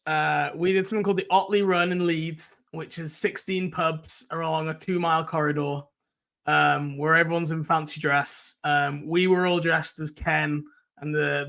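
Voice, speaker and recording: A young English man hosting a sports podcast in casual chat, his voice croaky. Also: on the high side, steady tone, moderate pace, pushed and bright. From England. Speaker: podcast host.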